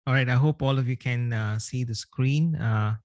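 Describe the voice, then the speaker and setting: A man's voice: mid-range voice, moderate pace, a little mellow, dry recording. A man from Sri Lanka, giving an online presentation over a video call with many hesitations. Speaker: guest speaker.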